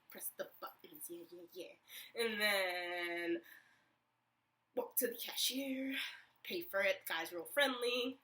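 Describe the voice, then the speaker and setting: Young woman, vlog storytime, rambling filler-heavy chat, bright voice, slightly distant mic. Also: mid-range voice. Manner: chatty, rambling, many fillers. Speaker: vlogger.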